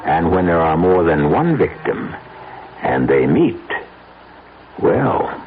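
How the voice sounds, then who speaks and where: An American man narrating as a radio mystery host, wry and dramatic with measured pauses. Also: sits low, sing-song, background noise. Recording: narrowband old radio broadcast.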